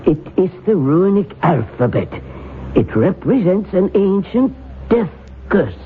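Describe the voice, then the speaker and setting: A man's voice: high voice, sing-song, unhurried. An actor playing a scholarly male professor of occult lore, grave and a little hesitant, in an old radio drama. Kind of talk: 1970s radio drama acting. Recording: tinny lo-fi old radio broadcast with faint hiss.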